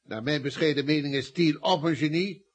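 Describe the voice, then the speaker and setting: A man's voice: on the high side, brisk, natural voice. A man acting in a radio comedy as a blustering, dry, grudgingly generous office boss. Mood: smooth, then dry.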